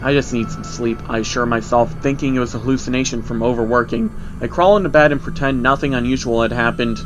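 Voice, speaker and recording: A man's voice: medium pitch, fast. A man narrating a scripted first-person horror story with a dramatic storytelling delivery. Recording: music under the voice. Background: music.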